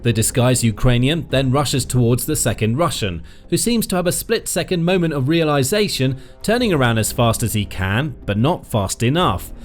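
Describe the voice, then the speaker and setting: A man reading a scripted military news narration with dramatic, emphatic pauses. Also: medium pitch, lively intonation. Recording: faint music bed behind the voice.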